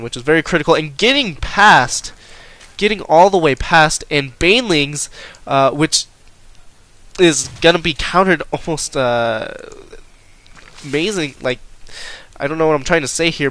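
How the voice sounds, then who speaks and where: A young man with a blocked, nasal voice calls a live strategy game match. His pitch sits in the ordinary middle for a man. He talks casually, stops to fix his own slips, and speeds up when the action picks up. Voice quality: nasal, congested. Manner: casual, self-correcting, rising excitement in big moments. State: stuffy nose. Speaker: esports caster.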